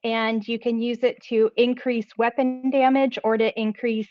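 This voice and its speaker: A woman's voice: fairly high pitch, little pitch movement, unhurried, dry recording. A woman playing an online tabletop game, precise and asking about the rules. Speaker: player. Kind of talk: casual tabletop play. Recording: dry, dull online call audio.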